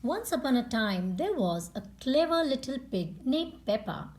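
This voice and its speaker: A woman reading a children's picture book aloud in an expressive storytelling style. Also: high voice, a little slow. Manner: expressive, acts out character lines. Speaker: storytime reader and channel host. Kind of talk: children's read-aloud narration.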